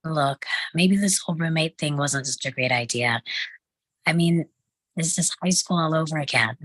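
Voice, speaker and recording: A low, raspy young woman's voice in a very dry recording, acted by a woman at a table read. She plays a blunt, sarcastic sitcom sister, exasperated but caring. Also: a bit bright, dry recording, rough recording.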